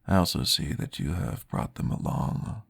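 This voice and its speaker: Deep, gravelly, slow-spoken vampire therapist, formal and reassuring, in an ASMR roleplay, voiced by a man. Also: animated, heavily acted.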